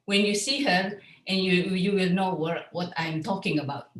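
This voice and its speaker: Woman host, echoey and far off. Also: fairly low pitch. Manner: friendly, a little halting. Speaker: webinar host. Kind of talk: introducing a speaker. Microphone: video call. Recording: roomy, from far off the mic.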